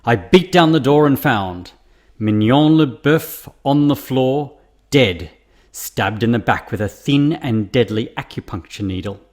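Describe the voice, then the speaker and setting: Man as serious detective, mid-pitched voice, grave and deliberate, scripted radio mystery drama. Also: animated, natural voice. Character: serious male private investigator narrating a murder case.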